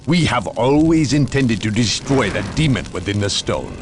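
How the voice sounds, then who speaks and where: Solemn, gravelly warrior judge. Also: male voice, medium pitch, big pitch swings. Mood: solemn counsel. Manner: grave, measured, commanding. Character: solemn, stern judge among space warriors. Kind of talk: dramatic video game cutscene acting. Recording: music behind the voice.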